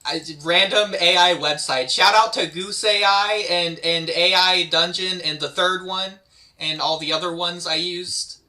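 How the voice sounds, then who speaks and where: A man's voice: high voice, fairly even intonation, a little slow, pushed and bright. A man hosting a table read, talking casually and explaining things to the group. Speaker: host of a table read, project creator. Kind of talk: casual chat.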